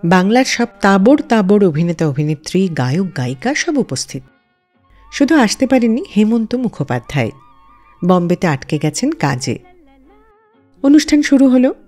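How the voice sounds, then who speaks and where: A woman hosts a radio show, narrating scripted anecdotes in a warm, mellow voice. Her delivery is animated, with a wide, lively pitch line. Soft music plays faintly behind her. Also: moderate pace. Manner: expressive storyteller, lively rise and fall. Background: faint background music.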